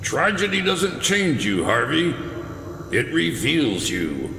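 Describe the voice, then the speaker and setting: Echoing hall, man acting scornful older lawman, gravelly, swooping delivery, audio drama. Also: a little high, sing-song, takes it slowly, pushed and bright, a little hiss or hum, heavily acted. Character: scornful older police commissioner held hostage. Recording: echoey hall-like reverb, music.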